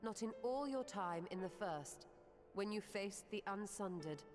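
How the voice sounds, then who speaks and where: An actress plays a learned woman of a fantasy game world, composed and formal. Her diction is measured and old-fashioned. The voice rings with long reverb over clearly audible music. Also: mid-range voice, lively intonation, a little mellow, lightly acted. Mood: measured, thoughtful. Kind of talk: scripted video game voice acting.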